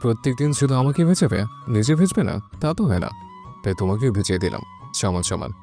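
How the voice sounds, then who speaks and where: A man's voice: mid-range voice, lively intonation, fast talker, dark tone, faint music behind, lightly acted. A man playing a bossy, teasing young husband in an audio love story, speaking in a curt, commanding manner.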